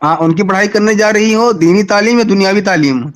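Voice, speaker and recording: A man's voice: high voice, a little fast. A man arguing in a heated online debate, sarcastic and mocking. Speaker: debater on a live stream.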